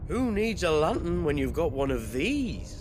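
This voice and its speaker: Casting: bossy, cowardly gang boss, high-voiced and swooping, theatrical game cutscene delivery. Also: male voice, sing-song, unhurried, noisy background. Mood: bossy, grumbling. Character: blustering, cowardly chief of a small work gang. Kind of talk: video game cutscene acting.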